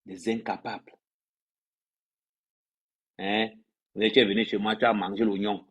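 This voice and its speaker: A man's voice: lowish voice, level tone, unhurried, slightly rough, dull audio. A man from Cameroon, an influencer ranting on a livestream, scolding his audience and hammering home phrases by repeating them. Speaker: social media influencer. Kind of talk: livestream rant to followers.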